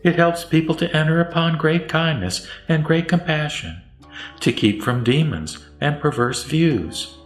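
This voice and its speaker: A man reciting scripture as a scripted narration, with measured, solemn phrasing. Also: a little high. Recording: roomy echo, music underneath. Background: background music.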